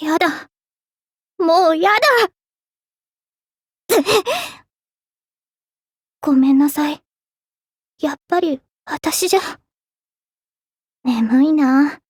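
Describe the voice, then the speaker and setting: A young heroine mage from a Japanese fantasy game. Her voice is high, clear and youthful, with an earnest, courteous manner. The recording is close and dry, free of room sound. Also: measured pace, long pauses, a little mellow, no room sound. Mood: dejected, tired. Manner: polite, earnest. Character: young girl mage, tomboyish, modest, earnest, later a solemn king. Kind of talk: Japanese game character voice lines.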